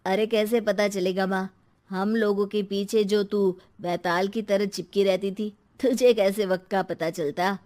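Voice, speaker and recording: A woman's voice: medium pitch, fairly even intonation, measured pace, darkish tone, lightly acted. A woman playing a spoilt, rude college-age daughter in a dramatised story, petulant and mocking. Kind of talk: dramatised Hindi moral story.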